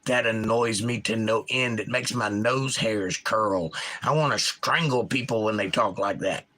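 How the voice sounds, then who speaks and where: A middle-aged man with a Georgia accent, hosting a casual live chat and reading out viewer comments, enunciating crisply in an animated, opinionated way. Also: on the low side, a little slow. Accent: Georgia, US South. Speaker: livestream host.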